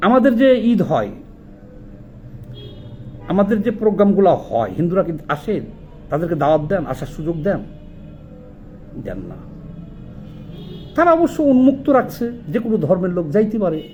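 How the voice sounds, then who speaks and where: High-pitched, animated Bangladeshi man arguing. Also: moderate pace, pauses often, soft background music. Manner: indignant, argumentative, colloquial. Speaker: social commentator. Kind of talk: informal video commentary, rhetorical questioning.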